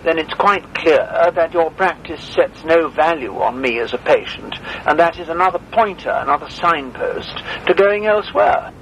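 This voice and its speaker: A man's voice: a little high, lively intonation, normal speed, hardly any pauses, fairly bright tone, slight background noise. A man on a phone call as a dental patient, speaking in a formal, old-fashioned and stern way with precise wording. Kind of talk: phone conversation.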